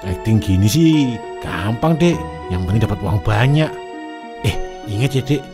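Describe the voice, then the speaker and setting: A man's voice: fairly low pitch, expressive, a little slow. A man voicing a greedy cartoon husband who fakes misery for donation money, talking in a whiny, pleading and sly way, with music playing under him. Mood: scheming. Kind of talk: animated cartoon voice acting.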